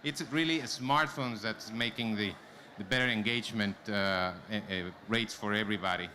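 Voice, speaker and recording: A man's voice: on the low side, moderate pace, sharp tone. A man giving a live conference presentation, speaking informally and directly to the audience. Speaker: conference presenter, company vice president. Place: conference stage before an audience. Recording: clear background noise, live event room.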